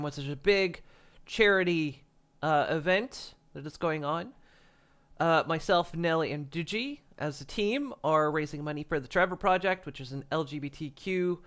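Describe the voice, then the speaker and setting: A man with a slightly raised voice chats to his stream audience while clearly congested and stopped up. He rambles, laughs often, and trips over his own sentences. He sounds like he is talking into a desk mic at a home setup. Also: fairly high pitch, a bit bright. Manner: rambling, frequent chuckles and restarts. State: congested, stuffy nose. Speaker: livestreamer. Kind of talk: casual stream chat. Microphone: desk microphone.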